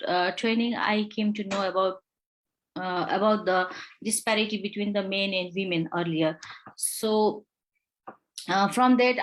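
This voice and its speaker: A young woman from Nepal, a human rights lawyer, answering interview questions haltingly, with many fillers and restarts. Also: mid-range voice, a bit bright, rough recording.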